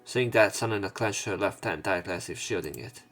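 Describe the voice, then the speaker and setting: A man doing a let's play, reading a visual novel aloud with expressive dialogue and casual, blunt commentary. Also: sits low, brisk. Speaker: let's player. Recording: game music behind voice. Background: game music.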